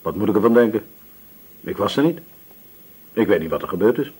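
An actor playing a sullen, defensive nineteen-year-old male army corporal in a radio drama, curt and evasive, with a raspy voice. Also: fairly low pitch, animated, fairly quick, darkish tone, low-quality recording. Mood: guarded, defensive. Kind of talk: Dutch radio drama acting.

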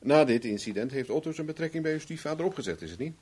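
Husky, self-assured male investigator. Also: a little high, expressive, rapid-fire, darkish tone. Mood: probing. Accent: Netherlands Dutch. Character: self-assured male private investigator, shrewd, worldly, coolly protective. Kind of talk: 1970s Dutch radio play acting.

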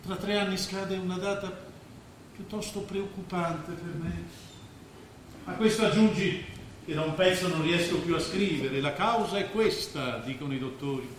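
A male stage actor playing a grandiose, scheming businessman and former artist, declaiming with weighted pauses and cynical persuasion. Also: high voice, close to an everyday voice. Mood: weary, confiding. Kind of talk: theatrical stage drama acting. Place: indoor stage of a theatre. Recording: very echoey theatre stage, faint noise.

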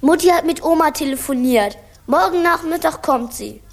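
A high-voiced performer playing an eager, earnest young boy who acts as ship's helmsman in a German children's radio play. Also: animated, moderate pace. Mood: earnest, urging.

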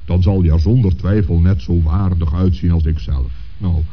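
An actor playing a dignified, kindly old saint in a children's radio play, speaking in a measured, patient and reassuring manner. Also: male voice, low voice, fairly even intonation, breathy edge, muffled recording.

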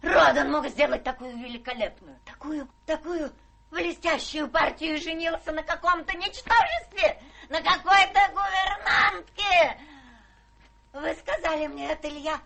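A woman's voice: high-pitched, moderate pace, vocal fry, bright, forceful tone, a little hiss or hum, exaggerated voice. An actress playing a capricious, domineering rich old lady prone to hysterics, in a theatrical radio-drama style. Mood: outraged, frantic. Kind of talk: stage-trained radio drama acting.

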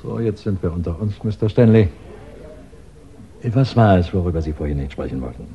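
An actor playing a courteous, probing detective who questions witnesses methodically in a 1960s German radio crime drama. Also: male voice, low-pitched, expressive, brisk. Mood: confidential. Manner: methodical, polite, insistent questioning. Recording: dull 1960s radio recording.

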